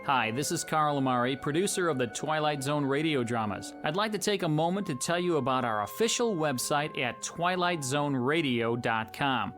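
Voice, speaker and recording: A man delivers a scripted promo as the producer of a radio series. His voice is fairly high, with faint music behind it. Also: fairly bright tone.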